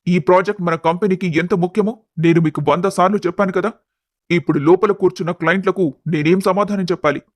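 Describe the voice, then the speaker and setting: A man's voice: sits high, fairly even intonation, fast talker, dry recording. A man playing a stern young company boss in a dramatised family story. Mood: angry, accusing. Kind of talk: dramatised audio story acting.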